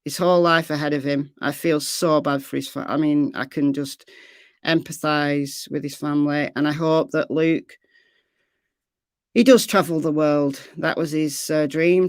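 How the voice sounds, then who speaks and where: A middle-aged woman hosting a true crime livestream, chatting casually with viewers in a rambling way, her voice slightly hoarse. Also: sits low, steady tone, normal speed, no echo, studio quality. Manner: rambling, chatty, trails off into fillers.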